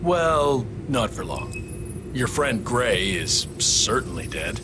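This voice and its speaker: A man's voice: mid-range voice, very animated, slow pace, music in the background. A man playing a cocky, talkative agent and killer in a game, with a smug, theatrical manner. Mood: taunting. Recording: clear background noise.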